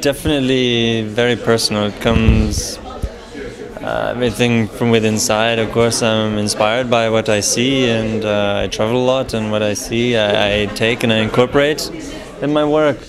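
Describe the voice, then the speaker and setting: A man's voice: on the low side, steady tone, normal speed. A male figurative painter describing his work in a thoughtful, personal way. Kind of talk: interview answers. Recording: crowd chatter behind.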